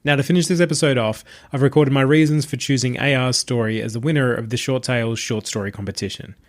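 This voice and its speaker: A man reads scripted short fiction as a podcast narrator. His voice sits at a middle pitch, and he delivers the prose as the host of the show. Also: fairly even intonation, fast.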